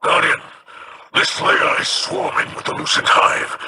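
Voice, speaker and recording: An actor voicing a stern, dignified male alien elder in a video game, measured and formal. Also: very high voice, huge pitch swings, gravelly, bright, forceful tone, a little hiss or hum, exaggerated voice. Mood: grave.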